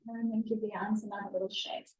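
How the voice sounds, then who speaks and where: A woman yoga instructor leading a guided stretching and breathing class in a gentle, soothing, unhurried manner, recorded live in a studio. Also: mid-range voice, little pitch movement, lo-fi sound. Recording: echoey room, distant mic.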